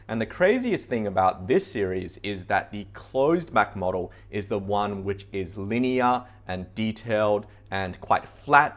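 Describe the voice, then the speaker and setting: Aussie guy with a lowish voice doing a product review for a shop. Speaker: store presenter.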